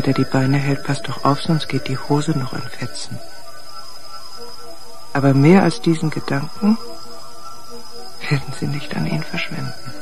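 An actress playing a brooding, guarded widow in a radio crime drama, speaking in a measured, subdued way. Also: female voice, sits low, darkish tone.

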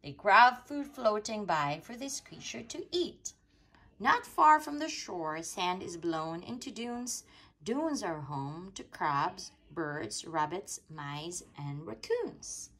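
Husky woman reading slowly, very lively pitch. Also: low-quality recording. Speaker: storytime reader. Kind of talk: read-aloud of a rhyming children's picture book.

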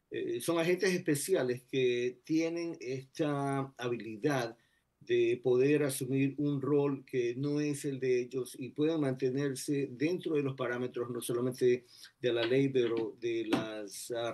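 A man in his sixties from Ecuador, a retired federal agent speaking as a guest over a video call, measured and full of pauses. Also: mid-range voice, steady tone, darkish tone. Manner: measured, many pauses and "eh" fillers. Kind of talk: remote video interview. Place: indoors before a plain backdrop.

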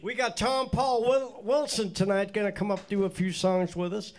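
A man's voice: high voice, steady tone, normal speed. Stuffed-up older man hosting a stage show. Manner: rambling, chatty, folksy with restarts. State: congested from a cold, stuffed-up nose. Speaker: bandleader and show host. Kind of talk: casual on-stage chat to a live audience. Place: small indoor stage studio. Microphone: stand mic on stage.

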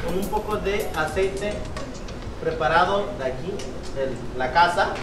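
Casting: man, thirties, Guerrero coast Mexican accent, high voice, restaurant cook showing his craft casually, recorded at a distance over music. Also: expressive, unhurried. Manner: repetitive, punctuated with "eh?" tags and rhetorical questions. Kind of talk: casual cooking demonstration and promotion. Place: open-air beach restaurant and its kitchen. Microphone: camera a few steps away. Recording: roomy, distant camera mic, music underneath.

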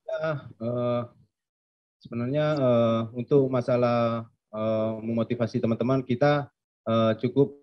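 A man's voice: medium pitch, steady tone, measured pace. A young man, a football player, answering press questions hesitantly with many fillers and speaking of himself by name. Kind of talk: post-match press answers. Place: press conference room. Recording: dull lo-fi stream audio.

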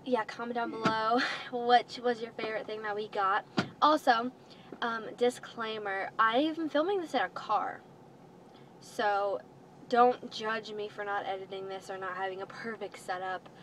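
A woman's voice: a little high, steady tone, measured pace, fairly bright tone. A teenage girl chatting casually to the camera as a vlogger, recorded inside a car. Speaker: vlogger showing a clothing haul. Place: inside a parked minivan. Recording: noisy phone-style recording inside a car.

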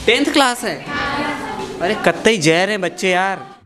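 A man's voice: sits high, animated, a little slow. A young man hosting a playful classroom quiz on a handheld mic, chatty and teasing as he questions people. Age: young adult. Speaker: quiz host. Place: large school classroom, indoors. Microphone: handheld microphone. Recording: classroom with audible crowd of students. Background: crowd of students.

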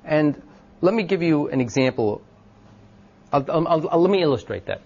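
Loud-voiced man lecturing, lo-fi recording. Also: a little high, animated, normal speed, slightly rough. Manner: thinks aloud with many ums and restarts, asks the class questions. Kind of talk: informal classroom lecture. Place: classroom.

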